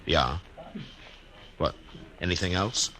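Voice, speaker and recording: An actor playing a laconic, weary American frontier marshal, acting and narrating in an understated, terse way in a 1950s radio western. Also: male voice, low-pitched, a little hiss or hum, full character voice. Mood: terse, steady. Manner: understated, hesitant pauses, terse. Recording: vintage radio recording, loud music behind.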